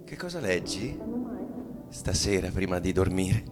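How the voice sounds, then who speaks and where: A man's voice: low-pitched, expressive, measured pace, over music. An actor playing an anxious, brooding husband and father in a theatrical radio play reading, declaiming and then dropping to a murmur. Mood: restless, pained. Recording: clear background ambience noise. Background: ambient sound design.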